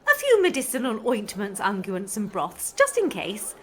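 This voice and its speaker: A woman's voice: mid-range voice, huge pitch swings, normal speed, slight background noise. A woman playing a fussy, kindly village healer in a comic audio drama. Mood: bright concern.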